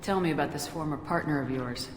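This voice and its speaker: An actress playing a steady, no-nonsense military space commander in a video game, direct and businesslike. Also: female voice, low-pitched, fast, lightly acted. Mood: steady, resolute. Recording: echoey, reverberant, clear background noise.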